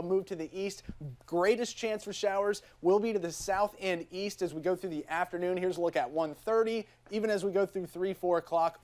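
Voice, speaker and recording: Casting: American TV weatherman, high-pitched male voice, talking through the forecast off the cuff. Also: darkish tone.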